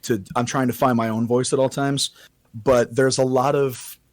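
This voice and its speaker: A man with a raspy voice, a singer-songwriter guest chatting casually on a podcast, often restarting his sentences. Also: mid-range voice, little pitch movement, normal speed, no echo, clean studio sound. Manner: rambling, self-correcting casual talk.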